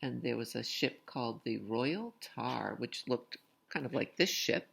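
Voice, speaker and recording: A woman's voice: extremely low pitch, lively intonation, a little slow, gravelly, slightly rough. A woman reading a picture book aloud expressively, with casual asides to young listeners. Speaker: read-aloud storyteller.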